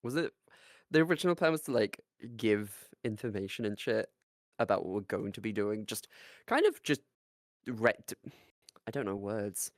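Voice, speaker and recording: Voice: mid-range voice, big pitch swings, moderate pace, no room sound, clean studio sound. A podcast co-host who laughs a lot, swears, and teases playfully in casual chat.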